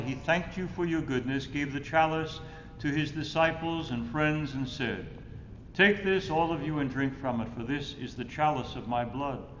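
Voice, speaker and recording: Man in his 60s, slightly high voice, solemn liturgical recitation, measured phrasing, reverberant church, faint rumbling noise. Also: a bit bright, lo-fi sound. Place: indoors in a church, at the altar.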